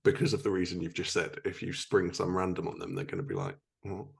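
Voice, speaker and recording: A man's voice: sits low, very animated, fast, creaky. A man hosting a podcast, chatting casually as he interviews a guest about writing. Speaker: podcast host and fellow writer.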